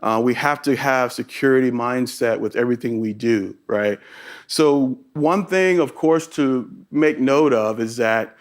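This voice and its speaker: A middle-aged man with a Texas accent giving a tech conference talk to a live audience. He keeps the room engaged, often tagging his points with a quick "right?". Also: medium pitch. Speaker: conference presenter.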